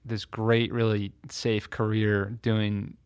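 A man talking as a guest in a casual conversation, telling lively stories and acting out his own nervous reactions. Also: fairly low pitch, takes it slowly, smooth, no echo. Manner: animated storyteller, acts out his own nervous voice. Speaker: podcast guest, author.

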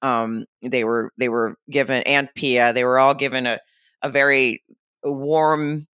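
A woman's voice: very deep voice, fairly even intonation, a little slow, fairly bright tone, clean tone, no echo. A woman co-hosting a podcast, chatting casually and rambling through stories with laughs between points. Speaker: podcast co-host. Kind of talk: casual recap chat.

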